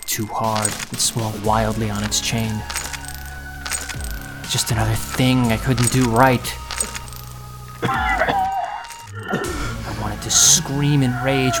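A man's voice: mid-range voice, huge pitch swings, unhurried, long pauses, loud music behind the voice, poor audio. An actor playing an anxious, self-doubting prisoner who tells his own story confessionally in an audio drama. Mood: pained, grieving. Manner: confessional, emotionally swinging storytelling.

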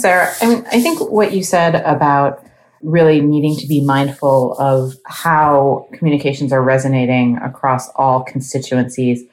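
A woman's voice: very deep voice, low-quality recording. A woman who advises corporate clients, talking in a professional panel discussion.

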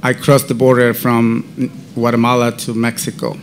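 A man with a Central American Spanish accent telling his own faith story as a podcast guest, in halting, non-native English. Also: medium pitch, completely flat delivery, slight background noise. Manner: slow, deliberate. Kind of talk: personal faith testimony storytelling.

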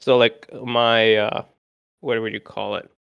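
A man's voice: on the low side, flat delivery, unhurried, creaky, clear, resonant tone, dry recording, highs cut off. A man, a podcast guest talking in a chatty, rambling way full of fillers. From Canada. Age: 20s. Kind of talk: casual gaming podcast chat.

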